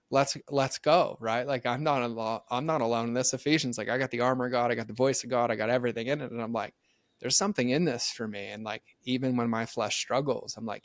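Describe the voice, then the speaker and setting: A man's voice: medium pitch, no room sound. A middle-aged man talking earnestly and animatedly as a podcast guest, full of "like" fillers. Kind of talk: casual faith conversation.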